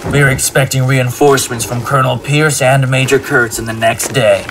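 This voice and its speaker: A man plays a fanatical army captain in an audio drama. He is commanding and clipped, with a mid-range voice. Clear background noise surrounds him. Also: medium pitch, steady tone, moderate pace, lightly acted. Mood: grim resolve. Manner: clipped, authoritative. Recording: noisy background ambience.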